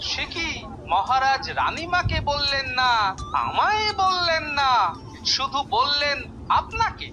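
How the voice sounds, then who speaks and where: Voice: high voice, animated, slow pace, sharp tone, faint music behind, full character voice. An actor with a Bengali accent playing a clever, suspicious male court jester in a cartoon, musing and exclaiming. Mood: surprised, probing.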